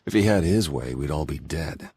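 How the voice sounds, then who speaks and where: An actor playing an earnest undercover spy in a video game, husky-voiced, urgent and pleading. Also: male voice, a bass voice, expressive, moderate pace, dark tone, no room sound, exaggerated voice. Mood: guarded. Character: earnest male spy working undercover among traitors. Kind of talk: dramatic video game acting.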